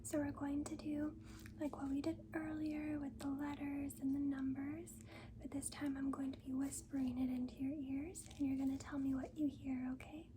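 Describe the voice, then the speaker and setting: A woman doing a soft-spoken ASMR nurse roleplay, mostly in a breathy whisper, with a gentle, reassuring manner. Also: high voice, little pitch movement, background noise. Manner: gentle, reassuring, slow and deliberate. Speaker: ASMR performer playing a school nurse.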